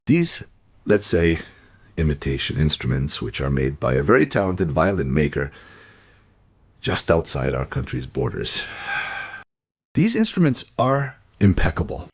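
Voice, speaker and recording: Smug crooked violin dealer in a radio crime play, deep husky voice, self-assured, very close dry sound. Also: male voice, wildly swooping pitch, unhurried, pauses often, no echo, full character voice. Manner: suave, self-assured explaining. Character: smug shady antique violin dealer, evasive smuggler.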